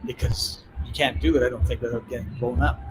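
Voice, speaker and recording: A man with a Canadian accent, a podcast host, telling a travel anecdote in lively conversation and acting out the people he quotes. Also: mid-range voice, quick pace, heavy background noise. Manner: animated anecdotal telling, acts out quoted voices. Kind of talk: casual storytelling in conversation. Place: on a call from abroad. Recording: clear music playing behind.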